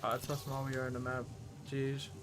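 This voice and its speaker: A man's voice: medium pitch, monotone, a little fast, music in the background. A man doing live gaming commentary as a streamer, blunt and slangy, cursing casually and grumbling. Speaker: game streamer.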